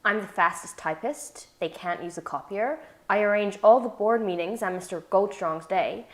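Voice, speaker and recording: A woman plays a polite, timid secretary in an office drama read. She is hesitant and deferential with her bosses, in a medium-pitched female voice. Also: normal speed, lightly acted. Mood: flustered, earnest. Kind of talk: drama script table read.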